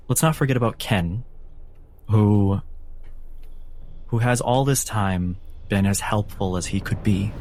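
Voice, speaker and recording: A man narrating a tabletop game as game master, with dramatic, suspenseful and deliberate phrasing. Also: low-pitched, darkish tone, slight background noise.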